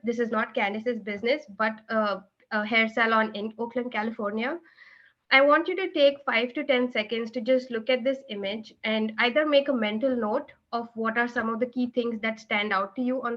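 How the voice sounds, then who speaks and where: A woman's voice: on the high side, a bit bright. A woman presenting a book chapter to an online group from her room at home, explaining steadily with many "uh" fillers. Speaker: presenter and event organizer. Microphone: video call. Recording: dull lo-fi video call audio.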